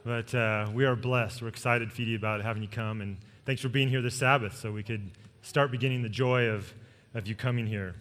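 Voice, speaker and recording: A man's voice: mid-range voice, fairly even intonation, brisk, a bit bright. A man, a pastor, making informal, joking announcements to a congregation in a casual way. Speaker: senior pastor. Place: large church hall. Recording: long hall reverb from church sound system.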